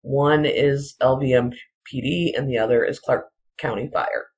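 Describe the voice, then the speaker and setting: A person giving casual, rambling solo commentary in halting, broken phrases, often repeating points insistently. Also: medium pitch, steady tone, darkish tone. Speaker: video commentator.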